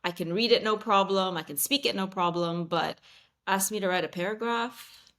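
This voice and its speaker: A woman's voice: medium pitch, moderate pace, a bit bright. A woman in her thirties, raised in Saudi Arabia with Arabic as her first language, talking casually as a podcast guest, with many fillers, restarts and easy laughs. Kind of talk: casual interview chat.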